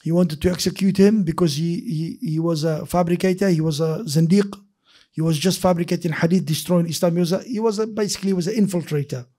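A middle-aged man giving a seated hadith lesson in a mosque at a desk microphone, talking with his hands and often restarting words. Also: high voice. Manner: animated, gestures with his hands while explaining; repeats and restarts words, mixes in Arabic phrases. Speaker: hadith teacher. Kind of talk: classroom lecture. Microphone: desk microphone on a stand.

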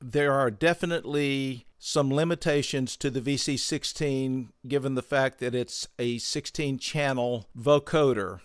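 A man hosting a synthesizer tutorial, explaining gear in a measured, methodical way on a close microphone. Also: medium pitch, fairly even intonation, smooth, no echo. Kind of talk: explanatory product tutorial narration.

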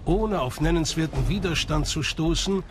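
A man's voice: on the high side, moderate pace. A man reading scripted documentary narration. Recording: music bed under the voice. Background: background music.

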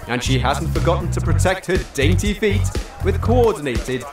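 A man's voice: on the high side, lively intonation, moderate pace, natural voice. Man playing a smarmy, echoing fashion-show compère. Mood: showy, salesy. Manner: theatrical, smug, patronising announcer patter. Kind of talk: comedic radio drama fashion commentary. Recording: echoey, distant PA, music behind.

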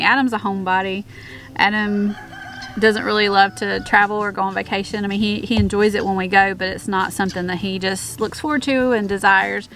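A woman's voice: mid-range voice, a bit bright. A woman in her thirties talking casually outdoors on her homestead, with many fillers and restarts. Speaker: homestead vlogger. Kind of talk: casual chat.

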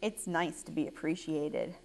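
A woman's voice: low-pitched, unhurried, creaky, a little mellow, pitched below the performer's own voice, rough recording. A woman voicing a picky, dry-witted talking sheep in a children's storybook, in a matter-of-fact, judging manner. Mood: appreciated, reassuring.

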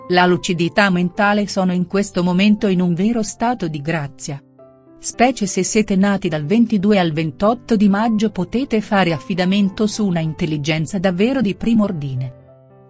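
A woman's voice: lowish voice, darkish tone. A woman reading a scripted daily horoscope as narration. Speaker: horoscope reader. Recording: music under the voice. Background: background music.

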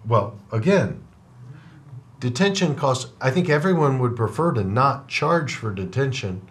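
A man's voice: medium pitch, expressive, a little slow. A middle-aged man hosting a trucking podcast in a studio, speaking plainly from a trucker's point of view. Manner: plainspoken, trucker's perspective, frequent rhetorical "right?". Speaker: podcast host and trucking company owner. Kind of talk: casual interview chat. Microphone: over-ear headphones with desk mic.

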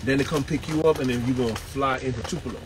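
A man quickly chiming in and correcting travel plans in a jet cabin. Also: medium pitch. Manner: quick, chiming in over others. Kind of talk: casual chat. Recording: noisy phone audio in a jet cabin.

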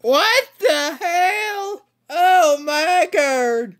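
A teenage boy who breathed helium, yelling and giggling in a squeaky high voice over a shooter game. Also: expressive, very slow, exaggerated voice. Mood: excited. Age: teens. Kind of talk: gaming commentary.

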